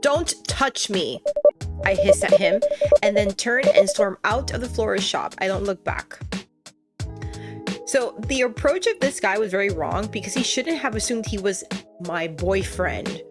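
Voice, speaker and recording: A woman narrating a visual novel aloud as a let's player, with expressive, conversational reactions. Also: mid-range voice, normal speed. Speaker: gaming streamer, let's player. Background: game music.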